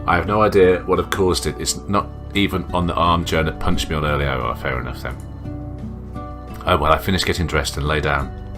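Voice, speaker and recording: A low-voiced man hosts a let's play of visual novels. He reads text aloud and chats casually, reacting to the story as he goes. Game music plays clearly behind his voice. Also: fairly even intonation, fairly quick. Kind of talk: casual gaming commentary mixed with read-aloud dialogue. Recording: voice over loud game music.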